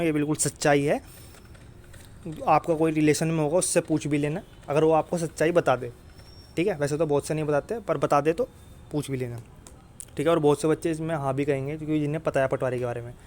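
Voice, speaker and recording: Blunt North Indian man, slightly high voice. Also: steady tone, brisk, darkish tone. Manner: blunt, insistent, repeats points with check-in tags. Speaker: exam coaching YouTuber. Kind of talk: informal explainer, addressing viewers directly.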